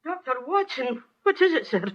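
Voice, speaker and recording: A muffled old radio drama recording of a homely housekeeper. Her voice is fairly high, her manner concerned and respectful. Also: big pitch swings, normal speed.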